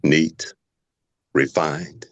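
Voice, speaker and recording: A man acting an observant, unhurried patient in a drama table read, speaking slowly and deliberately. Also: a bass voice, flat delivery, highs cut off, heavily acted. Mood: cool, appraising.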